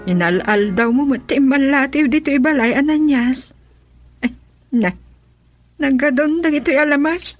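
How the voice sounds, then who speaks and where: A woman's voice: high-pitched, moderate pace, pauses often, natural voice. An actress playing a humble, worried village woman in a Filipino radio drama, speaking with a Filipino accent. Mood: tired, plain.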